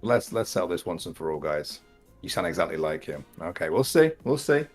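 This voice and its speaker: A man's voice: low voice, very animated, a little fast, faint music behind. A British man co-hosting a live stream, chatting with wry, dry humor. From Britain. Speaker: co-host. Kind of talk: casual live stream chat.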